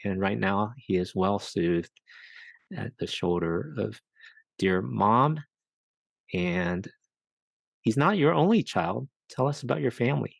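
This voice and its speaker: Guy hosting a podcast, chatty interview style, creaky voice, really dry close sound. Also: fairly low pitch, lively intonation, slow pace, pauses often, dry recording. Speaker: podcast host. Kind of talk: conversational interview.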